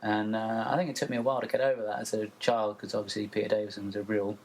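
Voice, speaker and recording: A man's voice: fairly low pitch, level tone, very fast, darkish tone. A man from the UK hosting a podcast interview, easygoing and encouraging. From United Kingdom. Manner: easygoing, encouraging, laughs along. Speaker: podcast host.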